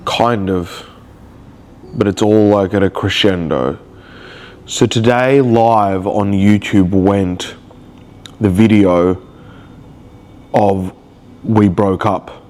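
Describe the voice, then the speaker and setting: A man's voice: lowish voice, very slow, long pauses, a little mellow. A man with a cold, sounding nasal, talking emotionally and rambling in a confessional video diary. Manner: rambling, emotional, searching for words. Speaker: vlogger.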